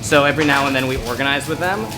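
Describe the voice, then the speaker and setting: A man's voice: medium pitch, very fast, music in the background. A young man from Romania with an American accent, chatting casually about food in an interview. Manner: relaxed, rambling with fillers. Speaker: food video creator.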